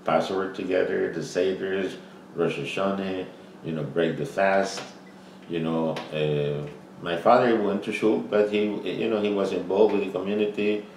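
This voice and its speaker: Older Costa Rican man, very deep voice. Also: fairly even intonation, creaky, darkish tone. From Costa Rica. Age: 70s. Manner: halting, frequent "you know" fillers. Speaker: interviewee. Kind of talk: oral history interview. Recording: roomy, distant mic with faint noise.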